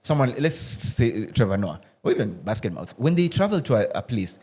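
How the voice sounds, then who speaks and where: A man talking casually on a podcast, telling stories with animation, laughing and restarting as he goes. His voice sits at a middle pitch for a man. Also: sing-song, brisk, darkish tone, husky. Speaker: actor and podcast guest. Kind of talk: casual roundtable chat. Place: podcast studio.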